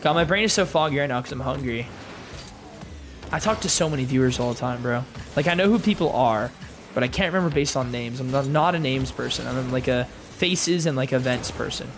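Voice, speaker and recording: A man's voice: medium pitch, fairly quick, soft background music. A young man streaming live, chatting casually with his viewers and reading their messages aloud, in a laid-back, filler-heavy way. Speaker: gaming streamer.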